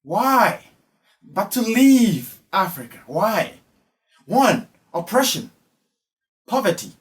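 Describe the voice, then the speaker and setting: A man's voice: high voice, sing-song, slow pace. A man from Ghana with a Ghanaian accent giving spoken social commentary, emphatic and repeating phrases for effect. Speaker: online commentator.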